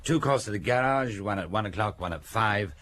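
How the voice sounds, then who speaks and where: A man's voice: fairly low pitch, lively intonation, fairly quick, fairly bright tone, slight background noise. An actor playing an earnest police subordinate in a radio drama, matter-of-fact and conversational, musing aloud to his chief. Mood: matter-of-fact, dutiful.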